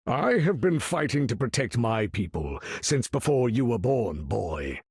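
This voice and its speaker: An actor voicing an imperious, weary older mutant leader in a video game, with grandiose, formal, commanding speech and a gravelly tone. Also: male voice, medium pitch, very animated, unhurried, no echo, studio quality, full character voice. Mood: stern, menacing. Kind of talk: video game character voice lines.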